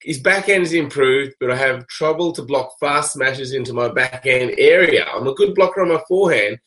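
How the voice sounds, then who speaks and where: Raspy man reading viewer questions. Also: medium pitch, lively intonation, moderate pace, a bit bright. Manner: easygoing, joking. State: raspy voice from a cold. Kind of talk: casual chat.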